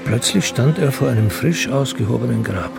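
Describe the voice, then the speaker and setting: An actor narrating a radio crime drama in a sober, scripted manner. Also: male voice, mid-range voice, moderate pace, vocal fry, loud music behind the voice. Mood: measured, atmospheric.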